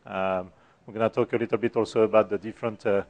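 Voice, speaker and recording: A middle-aged man with a French accent and a soft voice, giving a technical conference talk with many fillers and restarts. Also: on the low side, level tone, fast, lo-fi sound. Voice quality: soft-spoken. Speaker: conference speaker. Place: conference room.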